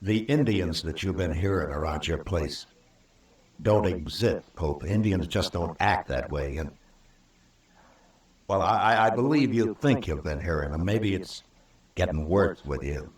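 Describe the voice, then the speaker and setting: Deep, stern frontier marshal. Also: male voice, expressive. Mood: grave, measured. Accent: American. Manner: firm, deliberate, authoritative. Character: stern, fair-minded male frontier US marshal. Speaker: lead actor and narrator. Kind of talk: 1950s American radio western acting. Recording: old radio recording, roomy and distant.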